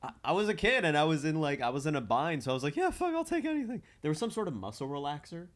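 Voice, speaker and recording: A man who is a comedian guest on a podcast, telling stories casually and in an animated way. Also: fairly high pitch, fast.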